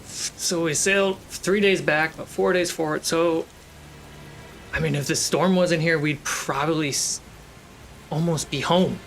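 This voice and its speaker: A man runs a tabletop fantasy game as its storyteller. His voice sits a little high and he takes his time, pausing for drama. Faint storm ambience runs underneath. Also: fairly even intonation, a bit bright, slight background noise, poor audio. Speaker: game master. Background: storm and ship sound effects.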